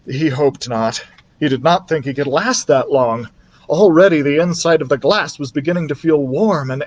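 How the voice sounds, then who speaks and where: A man with a fairly high voice reads a children's novel aloud. He narrates expressively in the manner of a library storyteller. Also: darkish tone, dull audio.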